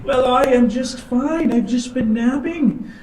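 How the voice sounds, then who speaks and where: A man's voice: extremely high pitch, slow, darkish tone, echoey room, a little hiss or hum, voice pushed up, rough recording. A man voicing a chirpy old dragon ship mind in a tabletop game, theatrical and giggly, with old-fashioned polite phrasing. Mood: cheerful, eager. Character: chirpy, chatty old dragon ship consciousness, playfully dramatic.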